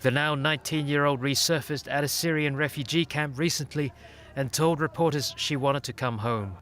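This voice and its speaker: A man's voice: a little high, moderate pace, a bit bright. A man reading scripted news reports as an anchor.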